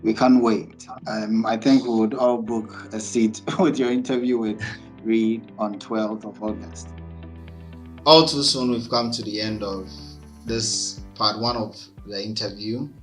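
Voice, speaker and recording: A male host with a fairly low voice who mostly gives short encouraging reactions to his guest. Faint music plays quietly underneath him. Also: steady tone, a little slow. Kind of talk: interview hosting.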